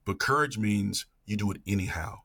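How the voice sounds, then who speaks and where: Emphatic low-voiced man with a husky edge. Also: normal speed, sharp tone. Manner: emphatic, repeats phrases for effect. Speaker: podcast guest, educator. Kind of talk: casual, passionate interview talk.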